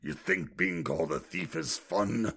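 A man playing a gruff, blunt retired thief in an audio drama. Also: lowish voice, very animated, measured pace, a bit bright, full character voice. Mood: defensive.

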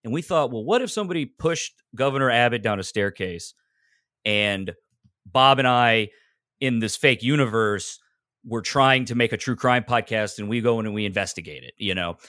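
A man's voice: medium pitch, expressive, normal speed, pushed and bright, dry recording, clean studio sound. An American man chatting casually as a podcast guest, rambling a little with self-deprecating humor. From Texas, United States. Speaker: podcaster and audio drama maker, guest. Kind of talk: casual interview chat.

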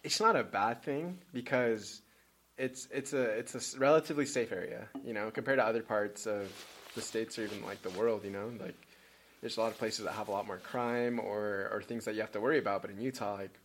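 A man's voice: fairly low pitch, steady tone, fairly quick, slight background noise. A young man from Peru talking casually as a podcast guest, laid-back and rambling with many fillers and restarts. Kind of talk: casual chat.

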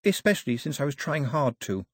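A man's voice: medium pitch, sing-song, a little fast, husky, no room sound, clean studio sound, voice pushed up. A man reading aloud and voicing a headstrong, fiery young woman who speaks passionately and defiantly. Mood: earnest.

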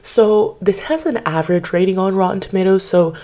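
A woman hosting her podcast in casual chat, slightly hoarse from losing her voice, chatty and rambling with lots of fillers, recorded on her bed at home into a microphone. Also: medium pitch, fairly quick, warm tone. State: slightly hoarse, partly lost voice. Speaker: podcast host.

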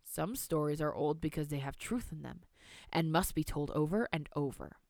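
A woman's voice: low-pitched, normal speed, creaky, darkish tone, no echo, studio quality. A woman voicing a stern old grandmother.

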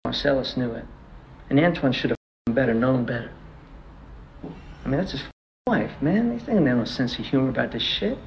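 Gravelly, streetwise gangster. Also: male voice, mid-range voice, expressive, moderate pace, pauses often, a little hiss or hum, poor audio. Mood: insistent, casual. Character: streetwise gangster arguing casually with his partner. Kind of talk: casual, profane film banter.

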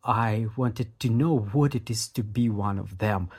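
A man giving interview answers in English translation, speaking in a measured way with restarts and repeated phrases. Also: fairly low pitch, darkish tone, husky.

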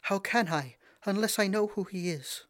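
A man's voice: high voice, moderate pace, a bit bright, no echo, voice pushed up. An anxious young princess of eighteen, as a man puts her on, her voice lifted well above his own and plaintive. She pleads earnestly in a storybook reading. The sound is dry and close to the mic. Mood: anxious pleading.

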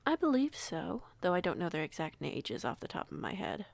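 A woman playing a protective female werewolf pack alpha in an audio roleplay, speaking in a measured, polite, reassuring way. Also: on the low side, sing-song, vocal fry, dry recording. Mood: thoughtful. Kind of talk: audio roleplay acting, conversational.

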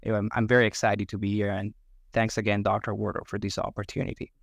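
A man's voice: on the low side, monotone, quick pace, creaky, dry recording, studio quality. A man in his thirties from Italy, Italian his first language, a medical resident speaking in a friendly, eager way. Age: 30s. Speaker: resident co-host. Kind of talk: podcast introduction and questions.

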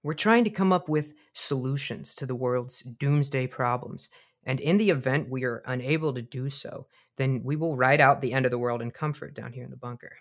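A man's voice: medium pitch, moderate pace, close to an everyday voice. Guy playing a self-important doomsday bunker boss, super earnest, kinda hesitant and rambly, comedy podcast drama. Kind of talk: conversational comedic radio-drama dialogue.

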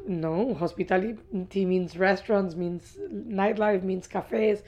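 Mellow woman from Ecuador. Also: medium pitch, measured pace. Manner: brief, matter-of-fact replies. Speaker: restaurant part owner, guest. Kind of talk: casual interview answers. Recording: dull lo-fi audio.

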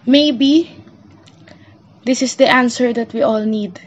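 A woman playing a stern female investigator in a radio drama, speaking formally and deliberately. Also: a little high. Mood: serious, probing.

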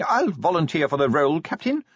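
A man's voice: a little high, sing-song, a little fast, breathy edge, no echo, dull audio, voice pushed up. A man voicing a small, prim inspector in a fantasy audiobook, hesitant and formal, polite yet firm. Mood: politely firm.

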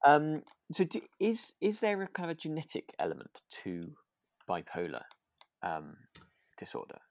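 Hesitant man with a creaky voice. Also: on the high side, huge pitch swings, no echo, clean studio sound. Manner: hesitant, tentative, halting phrasing. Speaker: podcast co-host. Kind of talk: relaxed conversational podcast discussion.

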